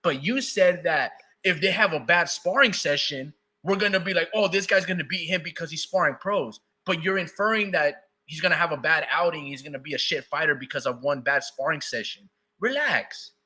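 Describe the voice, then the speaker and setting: Male podcast co-host, slightly higher voice, bright, husky, laid-back arguing. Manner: talks in quick interruptions, says "bro" and "hold on" a lot. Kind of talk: casual heated debate.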